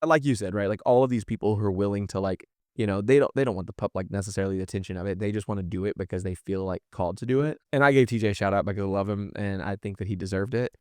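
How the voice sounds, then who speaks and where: A man speaks as a podcast guest in an earnest, encouraging way. His delivery is quick and casual, and his clear, resonant voice sits on the low side, recorded dry and close. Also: a little mellow, no room sound.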